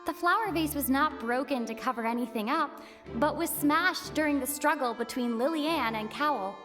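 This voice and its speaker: A woman playing a young woman who lays out deductions in an anime game, explanatory and deliberate. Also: sits high, natural voice. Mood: explaining. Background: background music.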